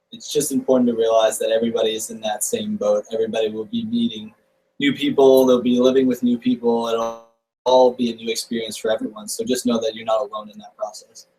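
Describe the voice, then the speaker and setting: A young man in his early twenties on a student video call, calm and reassuring. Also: medium pitch, brisk, far from the mic, a little hiss or hum, low-quality recording. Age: young adult. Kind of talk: casual video call chat.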